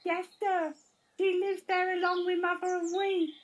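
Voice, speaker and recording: Very high-voiced little country girl played by a woman. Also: little pitch movement, measured pace. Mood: solemn, earnest. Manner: rustic, ungrammatical, deferential speech. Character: solemn little country girl of about eight. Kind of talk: audio drama acting.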